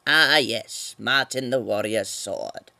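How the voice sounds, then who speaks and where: A man's voice: mid-range voice, slow pace, creaky, bright, forceful tone, dry recording, voice pushed down, exaggerated voice. A man voicing a pompous, long-winded old mouse storyteller in a cartoon parody dub. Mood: pompous, lecturing.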